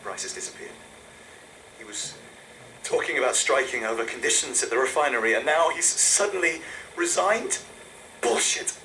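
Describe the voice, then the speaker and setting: A man's voice: medium pitch, moderate pace, long pauses, pushed and bright, far from the mic, slight background noise, close to an everyday voice, poor audio. A man playing an anxious, suspicious colony employee in a game audio log, with a tense, agitated delivery.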